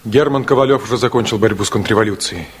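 An actor playing an earnest, troubled nineteen-year-old student in a radio drama, speaking tersely and with restraint. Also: male voice, on the low side, quick pace, husky. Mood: troubled, reluctant. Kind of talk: 1960s Soviet radio drama acting. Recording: faintly noisy 1960s radio recording.

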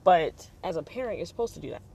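Low-key monotone young woman, gravelly. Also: quick pace, slight background noise. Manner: rambling with many fillers. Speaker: podcast host. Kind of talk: casual personal monologue.

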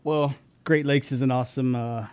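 Man, podcast co-host, eager, chatty, medium pitch. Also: fairly even intonation, normal speed, a little mellow, clean tone. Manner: enthusiastic, talkative. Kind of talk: casual podcast chat.

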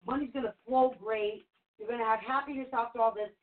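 A woman's voice: fairly high pitch, fairly even intonation, quick pace. Chatty woman psychic on a phone-like line. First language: Portuguese. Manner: reassuring, chatty, repeats for emphasis. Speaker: spirit reader and show host. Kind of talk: live call-in psychic reading show. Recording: phone-like narrow sound.

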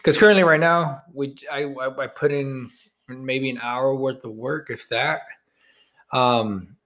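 A man's voice: mid-range voice, steady tone, unhurried, fairly bright tone. Rambling, frank man co-hosting a podcast. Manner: rambling, frank, swears casually. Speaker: podcast co-host. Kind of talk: casual chat.

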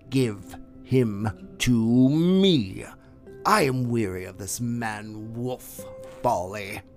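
A man voicing a menacing male tiger who roars, drawls his threats slowly and drops to a hissing whisper. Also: mid-range voice, natural voice. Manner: slow, snarling, drawn-out threats.

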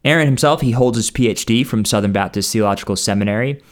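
A man's voice: lowish voice, quick pace. A man speaking as a podcast host, interviewing a guest in a friendly, conversational way.

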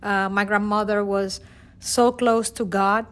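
A woman's voice: mid-range voice, fairly even intonation, a little slow. Earnest woman with a Venezuelan accent. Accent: Venezuelan Spanish. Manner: earnest, emotional, hesitant with fillers and restarts. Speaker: teacher and guest. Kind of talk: personal testimony.

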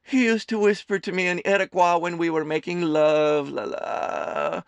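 A man voicing a doting, gossipy, middle-aged mother who runs a diner, loud and theatrical. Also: big pitch swings, moderate pace, no room sound, exaggerated voice. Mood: teasing. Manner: loud, theatrical, high chirpy voice.

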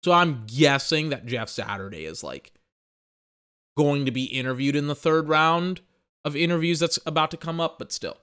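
A man's voice: a little high, expressive, moderate pace, fairly bright tone, clear, resonant tone. A young man hosting a sports podcast, rambling and emphatic in casual commentary, restarting phrases and swearing, a little tired.

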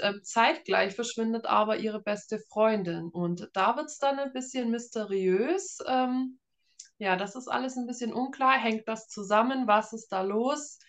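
A German woman in her thirties chatting casually and informally as an author being interviewed, with a self-deprecating touch. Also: a little high. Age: 30s.

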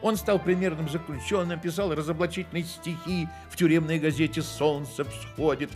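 An actor playing a wry storyteller, narrating a satirical novel for a radio play in a measured literary manner. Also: male voice, a little high, steady tone, over music, close to an everyday voice. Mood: wry narration.

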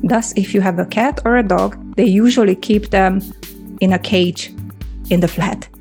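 A woman's voice: medium pitch, moderate pace, a little mellow, soft background music. A woman with a Hungarian accent, chatting casually and rambling through stories with lots of fillers. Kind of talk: casual chat.

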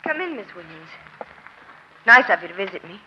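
A woman's voice: mid-range voice, big pitch swings, fairly quick, noisy background, lightly acted. A woman playing a tense, guarded, jealous witness held in jail, in strained 1940s radio melodrama style. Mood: bitter. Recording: dull, lo-fi old radio recording.

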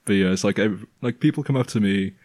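Male guest, deep bassy resonant voice, deadpan storyteller, dry close sound. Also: fast talker, dry recording. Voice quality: low resonant voice. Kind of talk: casual chat.

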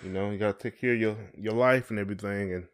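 Low-voiced man, casual podcast co-host. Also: fairly even intonation, fast talker, clean tone. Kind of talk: casual conversational podcast chat.

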